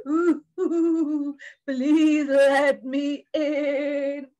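A woman voicing a big friendly male bear who pleads in a drawn-out way in a children's story. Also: sits high, flat delivery, mellow. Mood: pleading. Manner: drawn-out, imploring.

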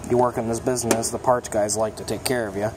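A young mechanic casually showing his toolbox, talking in a relaxed, plainspoken way with plenty of ums and uhs. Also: fairly low pitch, fast talker. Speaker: mechanic giving a toolbox tour. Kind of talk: casual show-and-tell vlog. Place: auto repair shop, indoors. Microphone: phone held in hand, filming first-person. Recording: shop noise with air conditioning hum.

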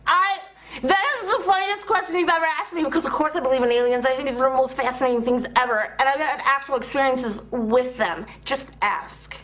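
Woman acting a high-pitched, giddy alien enthusiast. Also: fairly even intonation, a bit bright. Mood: giddy, earnest. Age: young adult. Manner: giddy, earnest, halting. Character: nerdy, excitable female UFO enthusiast claiming alien abductions. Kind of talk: comedy character sketch.

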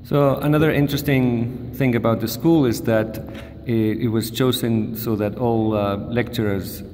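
A man's voice: medium pitch, fairly even intonation, unhurried, darkish tone. A man interviewing a colleague, asking questions hesitantly with many fillers, off camera in a large room. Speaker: interviewer, mathematician. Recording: roomy hall reverb, faint hiss.

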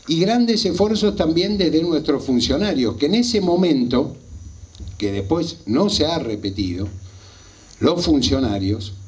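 A man in his fifties with a Rioplatense Argentine accent delivers an impassioned political speech, emphatic and repeating phrases for effect. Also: medium pitch. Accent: Rioplatense Argentine Spanish. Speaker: local party politician. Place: small outdoor rally stage at night. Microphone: handheld microphone.

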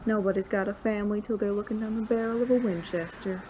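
A menacing outlaw boss from a western audio drama, played by a woman. The delivery is dismissive and callous at an average pitch. There is slight noise behind the voice. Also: fairly even intonation, fast, mellow, natural voice, rough recording. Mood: callous amusement. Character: cold, callous male outlaw gang boss, former sheriff.

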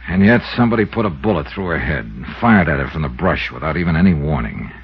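A man's voice: rumbling low, animated, fast, breathy edge, exaggerated voice. A man playing a cool, probing American insurance investigator in a 1950s radio drama, with a dry, clipped manner. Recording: dull, noisy old radio recording.

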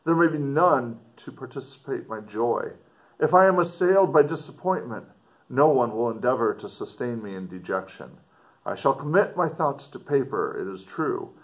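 A middle-aged man with a mid-pitched voice reads aloud in a teacher's manner. His delivery is expressive and measured, with deliberate pauses and emphasis on key phrases. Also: poor audio. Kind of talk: expressive book reading with teaching commentary.

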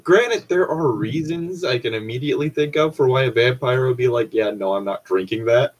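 A man's voice: mid-range voice, a little fast. A man bantering casually and reading aloud as a show host, with a sweary, exasperated manner.

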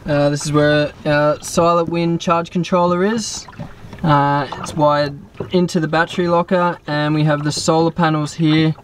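30s man, slightly high voice, easygoing boat-tour host, faint outdoor noise. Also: measured pace. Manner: relaxed, explanatory, many fillers. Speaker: sailing vlog host. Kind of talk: casual boat tour walkthrough. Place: outdoors on a sailboat deck.

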